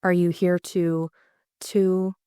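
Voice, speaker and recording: Polite, tentative young gentlewoman for an audiobook, voiced by a woman; meek delivery, dry close recording. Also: lowish voice, little pitch movement, takes it slowly, clear, resonant tone, dry recording. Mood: hesitant, surprised. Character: polite, hesitant, well-bred young lady. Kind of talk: audiobook character dialogue.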